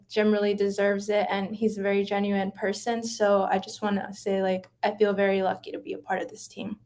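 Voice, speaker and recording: A woman's voice: mid-range voice, a little mellow. A woman who handles social media comments, chatting upbeat and informally on a video call. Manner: upbeat, filler-heavy youthful chat. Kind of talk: casual team introduction.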